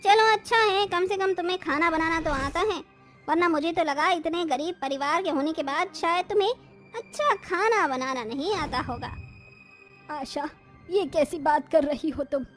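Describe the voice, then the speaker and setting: A woman's voice: very high voice, moderate pace, fairly bright tone, faint music behind. A woman acting as the women of a cartoon family in a moral story, in a theatrical, exaggerated manner. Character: women of a cartoon family: brides and haughty in-laws. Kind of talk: dramatic Hindi moral-story cartoon dubbing.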